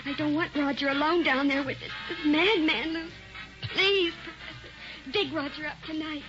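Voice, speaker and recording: A fearful young wife, voiced by a woman, pleading anxiously with someone. Her voice is high and the sound is dull and old-time, with faint music underneath. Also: normal speed, lightly acted. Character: frightened young wife of buried man. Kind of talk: 1940s radio drama acting.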